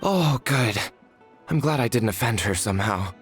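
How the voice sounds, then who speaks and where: Man as polite game villager, medium pitch, lively intonation, hesitant, deferential, earnest. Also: moderate pace, soft background music, close to an everyday voice. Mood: anxious, relieved. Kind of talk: video game voice acting.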